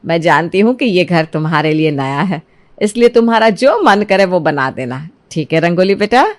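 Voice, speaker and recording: Casting: woman as middle-aged saas in a family cartoon, gentle, motherly, coaxing, pitched toward the lower, softer side. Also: animated, moderate pace, lightly acted. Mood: gentle, approving. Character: kindly middle-aged mother-in-law, gentle but quietly plotting. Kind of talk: Hindi cartoon moral story dialogue.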